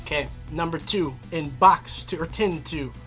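A woman's voice: deep voice, normal speed, a bit bright. A woman co-hosting a casual card-opening video, chatty and teasing, laughing often. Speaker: co-host. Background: music.

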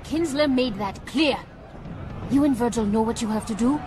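A woman's voice: on the high side, moderate pace, lightly acted. An actress playing a determined young woman trying to save her father, earnest and urgent. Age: young adult. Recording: game audio log with background noise.

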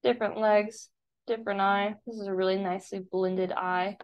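A woman with a creaky voice, an art teacher casually explaining software step by step in a tutorial voice-over. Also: medium pitch, level tone, moderate pace. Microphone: screen-recording voice-over. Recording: dull, lo-fi voice-over.

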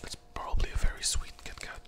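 Whispering ASMR candy reviewer. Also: quick pace.

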